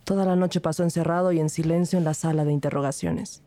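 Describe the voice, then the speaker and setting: An actress playing an earnest, inquisitive police investigator who narrates and acts in a scripted crime podcast drama. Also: female voice, deep voice, steady tone, fast talker, warm tone. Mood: grave narration. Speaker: narrator and detective character.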